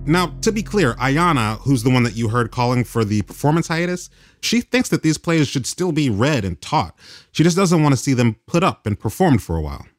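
A man's voice: mid-range voice, big pitch swings, fairly quick. A man hosting a radio program, moving between narration and conversation. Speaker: radio host.

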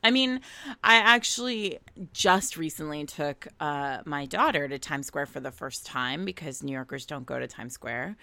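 An American woman co-hosting a podcast in casual chat, telling stories in an animated, digressive way. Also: sits low, moderate pace, creaky, dry recording. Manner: animated, digressive storytelling with frequent laughter. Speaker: podcast co-host.

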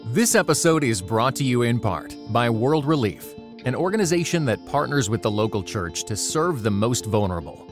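A man reading a scripted sponsor message in a smooth, measured way. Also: medium pitch, sing-song. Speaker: sponsor announcer. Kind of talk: scripted ad read. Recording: voice over guitar music.

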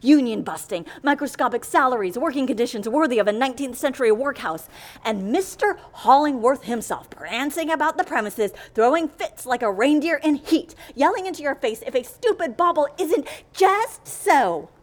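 A woman's voice: high-pitched, expressive, normal speed. A woman playing an exasperated, indignant agency worker in an audio comedy, speaking with emphatic, wordy, theatrical scorn. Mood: scornful, indignant. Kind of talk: audio comedy fiction acting.

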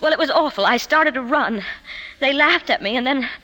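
An actress playing an anxious, glamorous woman confiding in her psychoanalyst, halting and tremulous in 1950s radio drama style. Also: high voice, bright, forceful tone. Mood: distressed. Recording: noisy 1950s radio broadcast recording.

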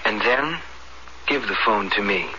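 An actor playing a kindly, easygoing young American medical student in a 1970s radio drama, informal and coaxing. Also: male voice, lowish voice, measured pace, dull audio, heavy background noise, natural voice. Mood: earnest, persuasive. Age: young adult. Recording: band-limited 1970s radio recording, loud music behind.

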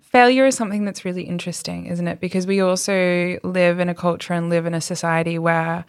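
Woman, low and a bit gravelly, easy conversational guest, trails off as she thinks. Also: steady tone, brisk, dry recording, studio quality. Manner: chatty, thoughtful, trails off mid-sentence. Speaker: yoga teacher and business owner. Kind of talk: casual conversation.

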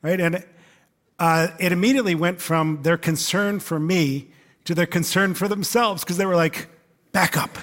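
A man's voice: high-pitched, fairly even intonation, moderate pace, a bit bright. A male pastor, who says he is losing his voice, gives a casual, conversational sermon with many fillers.

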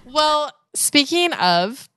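A woman's voice: sits high, very animated, drawn-out words, a bit bright. A woman hosting a podcast, reading an upbeat scripted intro and then chatting playfully with her guest. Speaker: podcast host.

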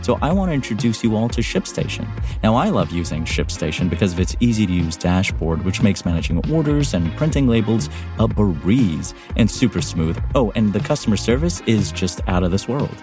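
A man's voice: fairly low pitch, very animated, moderate pace, few pauses. A man reading a scripted podcast sponsor ad. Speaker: sponsor ad reader. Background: music.

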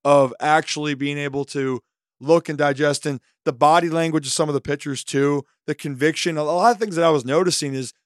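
Slightly hoarse man breaking down baseball. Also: a little high, fairly even intonation, brisk, no room sound, studio quality. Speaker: co-host. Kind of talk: sports podcast analysis.

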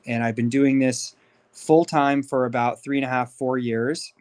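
A man's voice: medium pitch, fairly even intonation, measured pace, a little mellow. A thirty-year-old man with an American accent, telling his own story candidly and informally as a podcast guest. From Los Angeles, United States. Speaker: podcast guest, life coach. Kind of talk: conversational personal life story.

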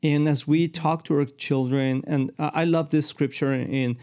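A man's voice: fairly high pitch, steady tone, moderate pace, darkish tone, smooth. A man, co-hosting a faith and family talk show in an earnest, casual way. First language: Spanish. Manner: earnest, restarts often, mixes in Spanish. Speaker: podcast co-host. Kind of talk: casual chat.

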